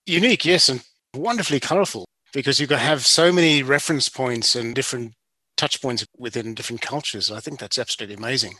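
Fast-talking, bright-voiced male podcast host. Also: medium pitch, no echo, poor audio. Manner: affirming, enthusiastic responses. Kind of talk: casual interview chat.

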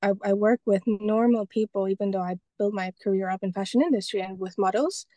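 A young woman from Estonia talks about her work in a relaxed, rambling way, full of fillers and restarts. Her voice is warm and mellow, and it sounds close and dry, with no room sound. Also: mid-range voice, a little fast, dry recording. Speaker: guest, painter and photographer. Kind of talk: casual interview talk.

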